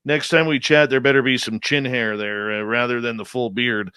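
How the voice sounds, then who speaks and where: A man's voice: mid-range voice, moderate pace, smooth, no room sound. A man hosting a radio show, joking and bantering casually with guests. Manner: joking, playful, animated.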